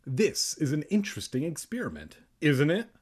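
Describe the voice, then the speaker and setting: A man voicing a smug, creepy boy in a storybook reading, giving slow, hushed, deliberate warnings. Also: a little high, wildly swooping pitch, husky, pitched above the performer's own voice, heavily acted. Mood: smug calm.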